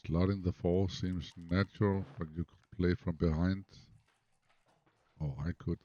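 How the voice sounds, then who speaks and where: Man, non-native English, very low voice, analytical, thinking aloud, dull lo-fi sound. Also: fairly even intonation, a little slow, mellow, dry recording. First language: not English. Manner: analytical, muses over moves half to himself. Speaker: backgammon player commenting on his own match. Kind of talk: thinking-aloud match commentary. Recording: dull lo-fi recording.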